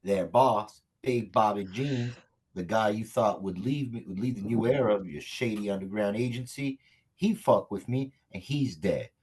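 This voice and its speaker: A man acting a cocky, vengeful action hero in a comedy table read, with a husky voice and defiant speechifying. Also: lowish voice, moderate pace, darkish tone. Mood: vengeful, menacing.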